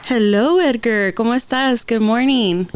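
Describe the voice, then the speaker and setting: A woman's voice: a little high, moderate pace, slight background noise. A woman hosting an interview podcast, chatting in a bubbly way and mixing in Spanish phrases. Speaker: podcast host. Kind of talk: casual interview chat.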